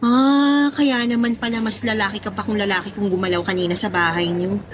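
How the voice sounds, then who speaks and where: A woman with a Filipino accent playing a young, teasing, curious best friend in an audio drama, speaking expressive casual slang. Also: medium pitch, a little fast, background noise, lightly acted.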